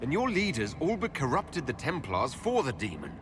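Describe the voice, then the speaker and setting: Expressive, composed hero. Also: male voice, medium pitch, normal speed, background noise. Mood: grave. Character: composed, wry male fantasy hero. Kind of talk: video game drama acting.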